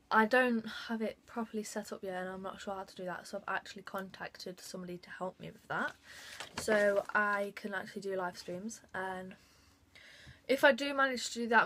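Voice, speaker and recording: A young woman chatting to her phone camera in a bedroom, drifting from thought to thought with plenty of fillers. Her voice sits a little high and she takes her time. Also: a bit bright. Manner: rambling, giggly, many fillers. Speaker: vlogger. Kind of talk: casual vlog chat. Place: bedroom, indoors. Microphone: phone camera at arm's length.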